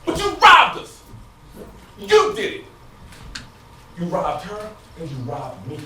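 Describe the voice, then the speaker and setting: An actor playing an anguished, frustrated husband in an emotional theatrical stage monologue that moves between quiet confiding and loud outbursts. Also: male voice, sits high, wildly swooping pitch, takes it slowly, exaggerated voice. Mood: angry, accusing. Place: stage in a large indoor room. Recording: echoey stage, distant mic, noisy.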